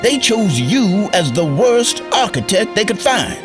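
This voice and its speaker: A man's voice: high-pitched, big pitch swings, measured pace, over music. An actor playing a bitter, indignant man in a radio drama, with an impassioned, scornful manner. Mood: bitter disbelief. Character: bitter, indignant male friend with cynical intensity.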